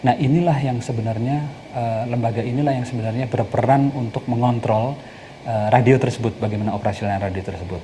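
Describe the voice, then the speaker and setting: A man's voice: medium pitch, fast talker, dark tone. A man explaining things in an interview as an advocate. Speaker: community radio advocate being interviewed. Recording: noticeable background noise.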